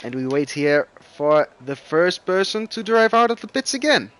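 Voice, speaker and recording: Excitable Dutch man calling sim racing. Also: high-pitched, normal speed. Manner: excitable, rising loud bursts at crashes. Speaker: esports race commentator. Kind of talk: live sim racing commentary.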